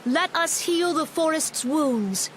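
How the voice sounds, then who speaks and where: An actress playing a solemn, compassionate leader of a forest nation, giving a formal memorial speech in a video game with stately, measured delivery. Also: female voice, high voice, animated. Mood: earnest, uplifting. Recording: music playing behind the voice.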